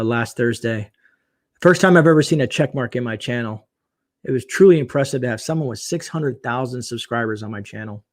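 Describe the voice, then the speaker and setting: A man hosting a casual late-night livestream, chatting and reading viewer comments aloud, his voice getting hoarse. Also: medium pitch. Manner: chatty, reads viewer comments aloud, frequent fillers and laughs. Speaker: livestream host.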